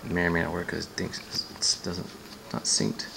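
A man's voice: low voice, moderate pace, vocal fry, noisy background. A man giving a casual hands-on gadget demo as a reviewer, offhand and sardonic, swearing and sounding exasperated.

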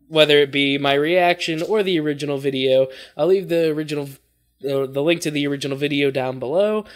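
Young man, casual online reaction host, slightly higher-pitched voice, talking at his desk. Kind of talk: casual reaction commentary to camera. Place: small bedroom indoors.

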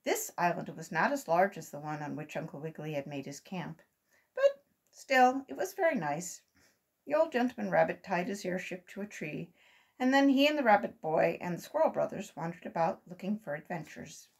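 A woman's voice: lowish voice, expressive, normal speed, gravelly, husky. An older woman reading a children's story aloud expressively. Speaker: volunteer children's story reader. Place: small room at home.

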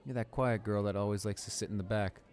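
Audio roleplay bully: male actor as a young male student, lowish voice, arrogant, irritable and dismissive. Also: steady tone, fast talker, a little mellow, a faint crowd, close to an everyday voice. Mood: smug, condescending. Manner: smug, dismissive, muttering to himself.

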